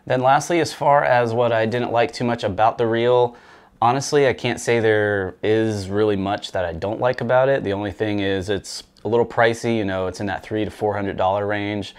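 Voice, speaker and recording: A man in his thirties talks through a fishing reel in an easy, chatty way, dotted with fillers. His voice sits a little low. He is seated in a small room, speaking to the camera. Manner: relaxed, conversational, frequent fillers. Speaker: fishing gear reviewer. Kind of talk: casual product review to camera. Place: small indoor room with rod racks.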